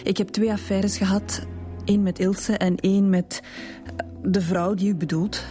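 A woman playing a guarded, dignified suspect in a radio drama, answering in a measured, reticent way. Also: medium pitch, level tone, warm tone, soft background music, close to an everyday voice. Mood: guarded, resolute. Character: guarded, dignified married woman under questioning. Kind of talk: Belgian radio police drama acting.

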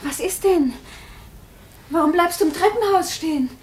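An actress in a German radio play playing an anxious, haunted young wife who speaks in a hushed, fretful way. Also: female voice, very high-pitched, moderate pace, slight background noise. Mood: worried.

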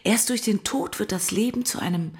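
An actress playing a high-minded heiress in a radio play, speaking in a lofty, moralising manner. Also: female voice, a little fast, natural voice. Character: high-minded heiress loftily justifying a grim scheme.

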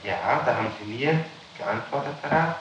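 A man questioning formally as an interrogator and reading documents aloud in a halting, stumbling way, on an old archival tape. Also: medium pitch, animated, fairly bright tone, echoey room, a little hiss or hum, poor audio. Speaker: police or judicial interrogator.

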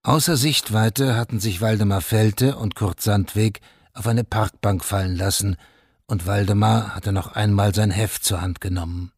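An actor as the sober, detached narrator of a radio crime play, reading scripted prose. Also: male voice, lowish voice, steady tone, moderate pace, darkish tone, husky, dry recording, clean studio sound.

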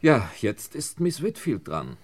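An actor playing a calm, methodical police inspector in a 1960s German radio crime drama, speaking deliberately and with authority. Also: male voice, mid-range voice, background noise. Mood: grave, certain.

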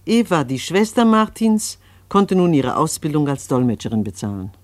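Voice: mid-range voice, lively intonation, moderate pace, no echo. A narrator reading scripted radio drama narration in a solemn, biblical cadence.

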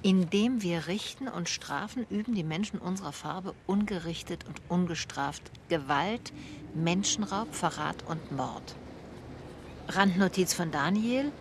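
A woman's voice: lowish voice, unhurried, a little hiss or hum. An actress playing a persistent, inquisitive female private detective in a radio drama. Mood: absorbed, reading. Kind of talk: radio drama dialogue and reading aloud.

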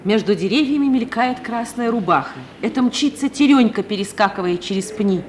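A woman's voice: on the high side, lively intonation, fairly quick. A woman reading scripted scene narration for a mid-century radio play. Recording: faintly noisy 1950 radio recording.

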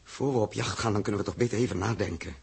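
A decisive spaceship captain in a 1960s radio play. His low voice is very rough and husky, with a firm, brisk, reasoning delivery. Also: heavily acted. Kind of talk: 1960s radio drama acting.

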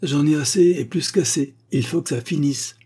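A man's voice: on the high side, normal speed, dark tone, slightly rough, pitched above the performer's own voice. A man voicing an irritable ancient Egyptian mummy who scolds and insults in a raised voice. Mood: irritated. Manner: scornful, peevish scolding.

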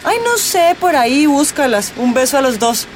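A woman's voice: sits high, expressive, moderate pace, very noisy. An actress playing an impulsive, flirtatious party-loving woman with a Colombian accent in a radio drama. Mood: playful, carefree.

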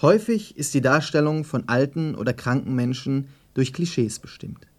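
A male presenter with a mid-range voice reads scripted remarks and interview questions. His delivery is formal and measured, as in a literary radio feature. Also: medium pitch.

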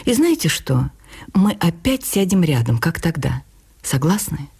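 A woman reading the words of a gentle, attentive male writer in a soft, earnest, intimate manner. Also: on the high side, sing-song, unhurried, husky, exaggerated voice. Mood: quietly confident.

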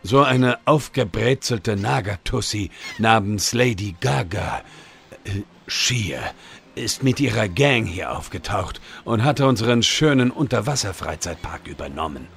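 A man playing a bumbling, sheepish old wizard with a husky, gravelly voice in a comedy audio drama. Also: on the low side, expressive, a little slow, a bit bright, full character voice. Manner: hesitant, sheepish. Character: bumbling, embarrassed old wizard reading a letter aloud.

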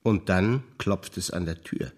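An older man performing scripted stage dialogue, measured and reflective, often sinking to hushed low phrases. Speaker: stage actor.

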